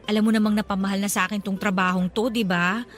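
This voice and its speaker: A woman's voice: mid-range voice, fairly even intonation, fast, natural voice. A woman playing an earnest, loyal young flower vendor in a radio drama. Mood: curious, chatty.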